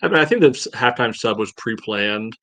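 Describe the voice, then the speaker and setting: A man co-hosting a sports podcast, giving casual analysis in a halting, thinking-aloud way peppered with "you know". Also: mid-range voice, lively intonation, a bit bright, no room sound.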